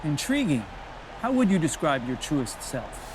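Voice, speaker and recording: Lively sports reporter, noisy background. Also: male voice, a little high, measured pace. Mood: intrigued. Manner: polite, inquisitive. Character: eager, probing male sports reporter. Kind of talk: post-game interview questions in a game. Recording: noisy urban background ambience.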